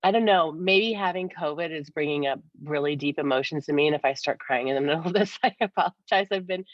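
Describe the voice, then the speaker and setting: A woman's voice: deep voice, fast talker. A slightly congested woman co-hosting a podcast, talking conversationally. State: slightly congested from a cold-like illness. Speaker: podcast co-host. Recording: dull, roomy, echoey sound.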